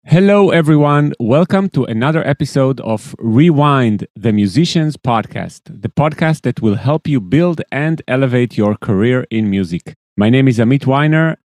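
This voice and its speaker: Podcast host, male, mellow tone, dry close sound, upbeat and eager. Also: mid-range voice, dry recording. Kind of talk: podcast interview.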